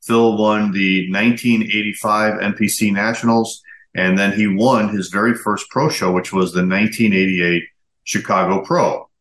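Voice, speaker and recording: A man's voice: fairly low pitch, steady tone, moderate pace, a bit bright. A man in his fifties presenting a podcast in a conversational way, introducing guests and asking them questions. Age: 50s. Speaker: podcast host. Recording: echoey room.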